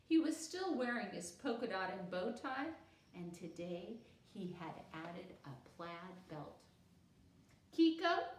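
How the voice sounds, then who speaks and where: A woman reading a children's book aloud as a storyteller, in an animated, expressive manner. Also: medium pitch, echoey room, distant mic. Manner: animated, acts out character dialogue.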